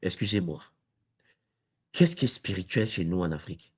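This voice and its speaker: Animated man pressing questions. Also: low-pitched, moderate pace, breathy edge. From Congo. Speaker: university lecturer. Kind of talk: debate-style discussion.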